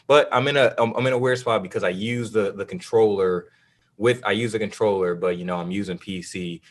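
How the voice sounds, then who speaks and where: A man with a very deep voice talks casually on a gaming podcast. He rambles through his points with lots of likes and restarts, in a loose and easygoing way. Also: fairly even intonation, a little fast. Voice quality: low voice. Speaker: podcast co-host.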